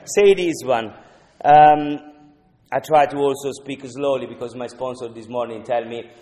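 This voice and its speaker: A man's voice: mid-range voice, dark tone. A man with a thick Italian accent speaks in a broken, earnest English, scattering "you know" as he talks to the group. His voice sits in a middle register, and it rings through a large reverberant hall from a little way off the mic. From Italy. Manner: halting non-native English, frequent "you know", earnest. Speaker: recovery meeting speaker. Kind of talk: personal share at a twelve-step meeting. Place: meeting room.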